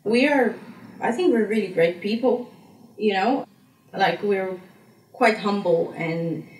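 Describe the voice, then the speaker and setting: A woman's voice: medium pitch, takes it slowly, slight background noise. A young woman from Slovenia with a Slovenian accent, speaking English as a second language and answering interview questions in a chatty way, with many fillers and restarts. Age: young adult. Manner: chatty, frequent fillers and restarts, laughs easily. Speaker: interviewee, graduate student. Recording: echoey room, mic far off.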